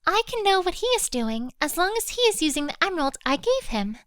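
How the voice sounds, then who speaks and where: A woman playing a wry, weary, secretive teenage girl in an audio drama, with expressive delivery. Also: very high-pitched, brisk, dry recording, exaggerated voice.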